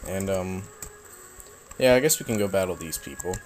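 A young man casually commenting while he plays a video game, rambling and self-mocking, his voice slightly raspy from a cold. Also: low voice, lively intonation, normal speed, slight background noise. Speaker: let's play host.

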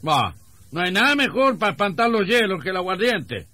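Shrill, whiny Chilean country drinker. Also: male voice, sing-song, fairly quick, sharp tone, no echo, exaggerated voice. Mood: indignant, stubborn. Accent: rural Chilean. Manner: whiny, grumbling folksy exclamations. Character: rustic Chilean countryman, stubborn, toothache-ridden drinker. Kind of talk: comic radio drama acting. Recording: noisy old radio drama recording.

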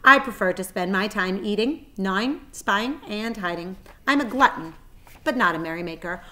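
A woman's voice: medium pitch, expressive, moderate pace, pushed and bright. Woman as a sour, crafty barn rat. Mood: sour, dismissive. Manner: sour, dismissive.

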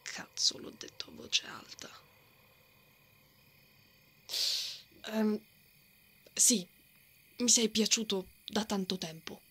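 A woman voicing a brave, hot-tempered female knight with a secret soft heart, in an ASMR roleplay, blustering and easily flustered. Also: mid-range voice, slow pace. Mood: flustered, shy. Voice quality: breathy, often dropping to a whisper. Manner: tsundere, blustering, flustered stammering.

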